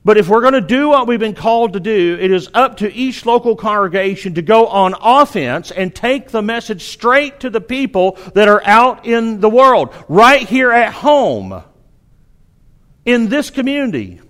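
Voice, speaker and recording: High-voiced Southern preacher, man. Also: lively intonation, normal speed, fairly bright tone. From Arkansas. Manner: earnest, exhorting, with frequent restarts. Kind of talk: sermon.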